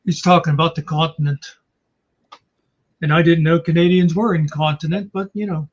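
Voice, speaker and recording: American dude, kinda high voice, dry jokes, casual chat, bit muffled lo-fi audio. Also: male voice, fairly even intonation, normal speed. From United States. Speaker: talk show panelist. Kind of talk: casual banter.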